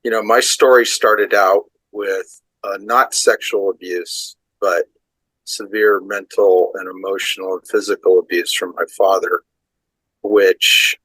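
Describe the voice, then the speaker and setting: A man's voice: deep voice, steady tone, a little slow, a bit bright, breathy edge, dry recording, low-quality recording. A man speaking as a guest on a video call, giving personal testimony.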